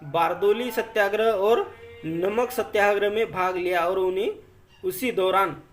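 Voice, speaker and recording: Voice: mid-range voice, a little slow. A presenter reads notes aloud as an informal explainer, repeating and rephrasing points and often addressing listeners as friends. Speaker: educational video presenter.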